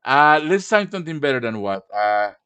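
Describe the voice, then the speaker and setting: A man's voice: medium pitch, big pitch swings, normal speed, sharp tone, clear, resonant tone. A man giving an informal live tutorial, thinking aloud as he works through each step. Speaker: tutorial host. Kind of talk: live software tutorial, casual and improvised. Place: live stream over voice chat.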